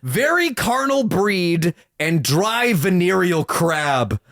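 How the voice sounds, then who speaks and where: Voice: mid-range voice, very animated, slow pace, a bit bright, no room sound, clean studio sound. A podcast co-host in casual comedic banter, riffing candidly with mock indignation.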